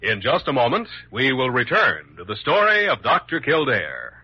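A man's voice: medium pitch, huge pitch swings, normal speed, breathy edge, soft background music. An American man announcing a scripted radio programme in a formal, polished vintage style. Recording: muffled old radio broadcast.

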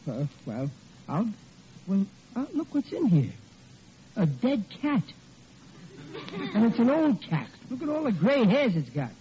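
A man's voice: high voice, very animated, unhurried, long pauses, mellow, heavily acted. An actor playing a blue-collar family man in 1940s radio comedy, colloquial and defiant, full of sheepish excuses. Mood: sly, sheepish. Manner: colloquial, blustering, makes feeble excuses. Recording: muffled, noisy old radio recording.

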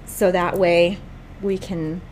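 A woman's voice: fairly low pitch, little pitch movement, slow, noisy background. Bubbly woman podcast host who laughs a lot. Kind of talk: casual chat.

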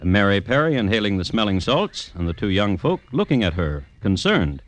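A man narrates a radio drama with wry timing. His voice sits low but moves through a wide range, which keeps the telling animated. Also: no room sound. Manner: wry storyteller. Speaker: narrator and host. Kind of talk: scripted narration.

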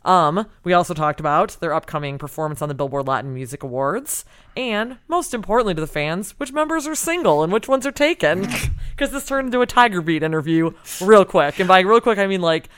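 A woman hosting a casual podcast from an office studio, with a low-set voice that stays smooth and clear. She chats in an upbeat, easygoing way and laughs easily. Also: animated, brisk. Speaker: podcast host. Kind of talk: casual podcast chat and interview.

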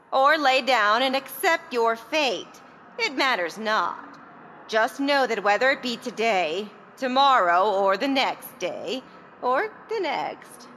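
A woman's voice: fairly high pitch, bright, forceful tone, slight background noise, exaggerated voice. A woman playing a haughty, mocking villainous empress in a comedic fantasy audio drama, theatrical and scornful.

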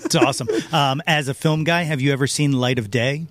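A man's voice: medium pitch, steady tone, fairly quick, fairly bright tone. A man hosting a podcast in a casual, conversational interview style. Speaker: podcast host.

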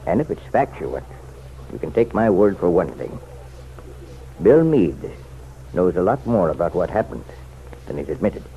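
A muffled, slightly hissy vintage radio recording carries a nosy small-town man with a deep voice. He is folksy and chummy on the surface, insinuating and persuasive underneath, and his pitch swings in a lively way. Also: normal speed, long pauses. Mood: warning, pressing. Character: insistent, insinuating townsman, nosy self-appointed helper to the sheriff. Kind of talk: old-time radio drama acting.

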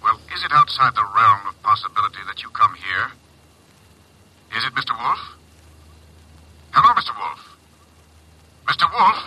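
An actor playing an agitated, formal male sanitarium doctor with a husky voice in an old radio drama. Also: mid-range voice, big pitch swings, normal speed, long pauses, bright, forceful tone, exaggerated voice, rough recording. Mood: tense. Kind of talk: 1950s radio drama acting.

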